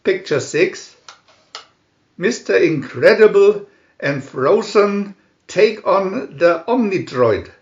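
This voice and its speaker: A man reading a scripted picture storybook aloud in short, deliberate phrases with pauses between them. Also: high-pitched, expressive, echoey room, dull audio. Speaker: reader of picture-story booklets.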